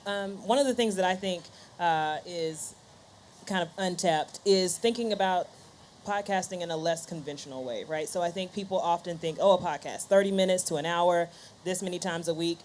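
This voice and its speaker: A woman's voice: on the low side, moderate pace, a little mellow. A woman on a conference panel, talking conversationally and thinking aloud, often checking in with a short question. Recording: faint background ambient noise.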